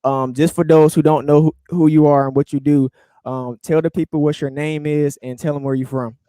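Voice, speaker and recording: A man's voice: on the high side, little pitch movement, a little fast, dark tone, clean tone, no room sound. A man hosting a casual interview, speaking in a friendly, loose way with lots of fillers. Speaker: interview host.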